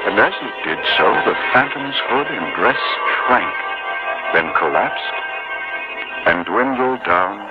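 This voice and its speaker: Solemn storyteller, low pitch, expressive range, dramatic vintage radio narration, muffled broadcast. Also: male voice, slow. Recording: dull old radio broadcast, loud music.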